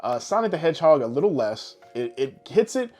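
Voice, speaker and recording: A man co-hosting a podcast, chatting casually and bluntly, with animated asides as he tells things. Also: a little high, a little fast, soft background music. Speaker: podcast co-host. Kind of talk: casual podcast chat about films.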